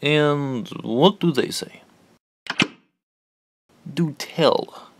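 Man acting a slow, menacing contract killer. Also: on the high side, no echo, heavily acted. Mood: cold disdain. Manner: deliberate, theatrical pauses, sudden swells. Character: menacing elite foreign contract killer, quiet and brooding. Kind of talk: comic-book radio drama acting.

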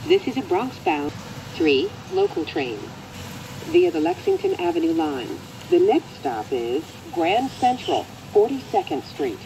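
An American woman reading scripted transit announcements in a measured, formal manner. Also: lowish voice, sing-song, background noise.

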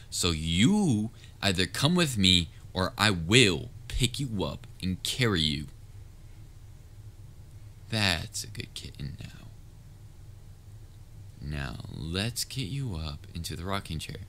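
A man's voice: low-pitched, very animated, takes it slowly, sharp tone, full character voice. A man playing a patient, sometimes exasperated but caring young babysitter in a roleplay audio drama, talking in a casual, coaxing way. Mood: firm, then soothing. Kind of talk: roleplay audio drama, speaking directly to listener.

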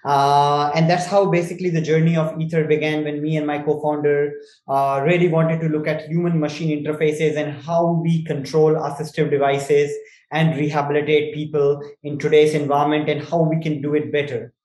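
A man in his twenties from India, with an Indian accent, explains things in a measured, articulate way over a roomy video call. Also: a little high, level tone, darkish tone. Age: 20s. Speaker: startup CEO being interviewed. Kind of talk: explanatory interview talk. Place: small indoor room, video call.

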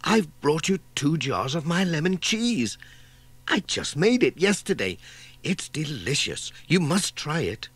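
Man doing a high, chatty village woman. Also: very animated, a little slow, fairly bright tone, husky, no room sound, full character voice. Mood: friendly, proud. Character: friendly, chatty village woman, proud home cook.

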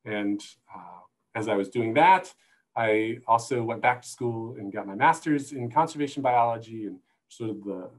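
A middle-aged man explaining things as a panel guest on a video call, in thoughtful, halting phrases, on an earbud mic. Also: fairly low pitch, rough recording. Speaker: guest, zoo conservation manager. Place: indoor room, video call. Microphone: wired earbuds headset.

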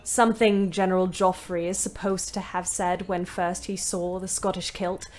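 A woman's voice: lowish voice, little pitch movement, moderate pace, a little mellow, lightly acted. An actress playing a wry, resentful young British woman ambulance driver, narrating her diary in an emphatic way. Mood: cheerful. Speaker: actor narrating an audio play.

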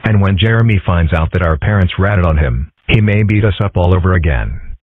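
Cartoon fox boy, adult male actor: earnest, plain-spoken, deep for a child, slightly rough, very dry sound. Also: brisk, dry recording, narrow, tinny audio. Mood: mildly resigned. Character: earnest, thoughtful young boy fox in top hat. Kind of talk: comic strip dub.

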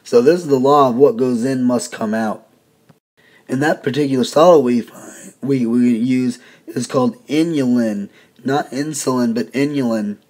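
A hoarse man giving a casual, folksy whiteboard lecture as a teacher. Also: medium pitch, normal speed, a little mellow. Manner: folksy, conversational explaining.

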